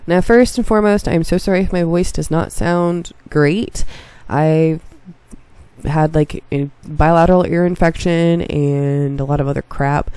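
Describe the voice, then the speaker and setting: A congested woman reading a visual novel aloud at home, casual and quick to laugh at her slips. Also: low voice, dark tone, clean tone, dry recording. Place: home room with a fan.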